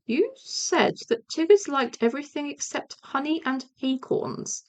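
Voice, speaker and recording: A woman performs a friendly toy bear from a children's tale. He is simple-hearted and earnest. The delivery is mild and childlike, pitched fairly high. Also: expressive, a little slow.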